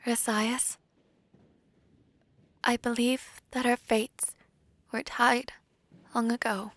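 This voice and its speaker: A woman playing a tender, devoted princess bride in a romantic fantasy audio roleplay, speaking in a soft, breathy, heartfelt way. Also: on the high side, flat delivery, slow pace, no echo.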